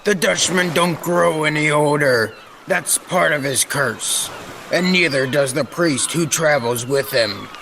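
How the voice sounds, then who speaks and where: An actor playing a stubborn, superstitious old sailor who speaks in emphatic salty dialect, in old-time radio style. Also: male voice, a little high, a little slow, vocal fry, pushed and bright, a little hiss or hum, heavily acted. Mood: wary.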